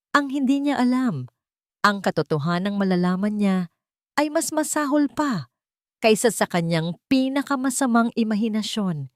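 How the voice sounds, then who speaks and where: A woman reading a scripted story as a dramatic, expressive storyteller. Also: medium pitch, no echo, clean studio sound.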